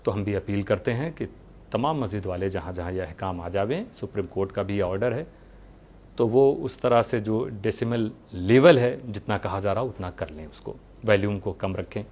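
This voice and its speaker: A man giving a religious sermon, speaking earnestly to his followers with rhetorical questions and deliberate pauses. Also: fairly low pitch, a little mellow.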